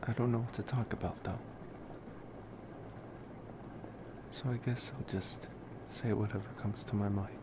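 A man playing a gentle, wistful college vampire boyfriend in an intimate ASMR roleplay, rambling hesitantly in a soft-spoken way. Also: lowish voice, steady tone, slow pace, background noise. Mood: affectionate, reassuring.

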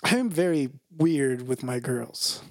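A man's voice: medium pitch, animated, unhurried, dry recording. A man in his twenties co-hosting a podcast with casual, crude banter, rambling and hedging, swearing freely and close on the mic. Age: 20s. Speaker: podcast co-host.

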